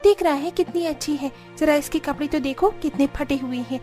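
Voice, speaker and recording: A woman's voice: high voice, fairly quick, warm tone, faint music behind, close to an everyday voice. A woman voicing a snobbish, scornful, rich mother-in-law in a cartoon story. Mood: scornful, outraged. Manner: scornful, sneering.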